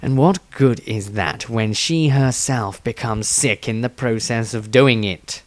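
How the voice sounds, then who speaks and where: A man's voice: medium pitch, a little slow, dry recording, close to an everyday voice. A man voicing a small, blunt, proud hedge wizard in a fantasy story reading, brusque and matter-of-fact. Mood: concerned, firm.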